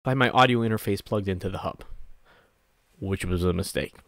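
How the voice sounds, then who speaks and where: A man's voice: deep voice, fairly quick, fairly bright tone, no echo. A man in his thirties giving a casual tech tip to camera as a streamer, playful and self-deprecating, close on a desk microphone. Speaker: streamer and video host.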